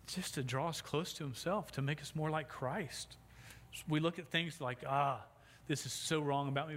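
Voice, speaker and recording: A man's voice: fairly high pitch, a bit bright. A middle-aged man preaching a sermon as pastor, speaking with a stutter that repeats sounds and restarts words, on a sound system microphone. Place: church sanctuary. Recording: long hall reverb, faint background noise.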